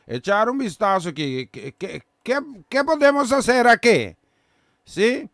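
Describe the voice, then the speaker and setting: Man, radio host from Curaçao, Papiamentu native, high voice, casual greetings. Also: sing-song, a bit bright, dry recording, clean studio sound. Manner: halting, switches between languages, sends blessings. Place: radio studio.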